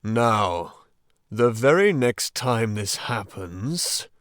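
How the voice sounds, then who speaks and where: A gruff old badger from a storybook, as a male reader puts him on, slow and deliberate, carrying a protective, fatherly air. His voice is raised a little in pitch and booms loud, with lively swings in the melody. The recording is close and dry. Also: no room sound, heavily acted. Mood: gruff, suspicious. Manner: gruff, suspicious, then fatherly. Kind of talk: storybook reading.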